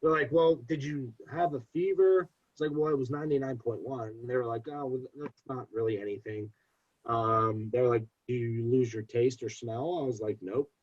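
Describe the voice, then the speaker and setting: Young man, husky congested voice, animated storyteller, dull lo-fi sound. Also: medium pitch, moderate pace. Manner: animated storyteller, repeats phrases for emphasis. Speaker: podcast guest. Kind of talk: casual storytelling. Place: home. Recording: dull lo-fi podcast audio.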